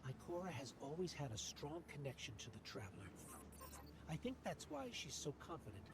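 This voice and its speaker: An actor playing a small, loyal male robot companion who speaks in a thoughtful, gently encouraging way. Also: fairly high pitch, wildly swooping pitch, fairly quick. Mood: reflective. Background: music score.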